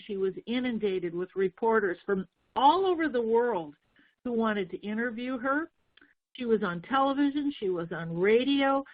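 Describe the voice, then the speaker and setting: A woman from North Dakota giving a webinar on book marketing as a book coach, telling stories in a chatty way with fillers and restarts. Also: medium pitch. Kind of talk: webinar presentation with slides. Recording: tinny phone-like webinar audio.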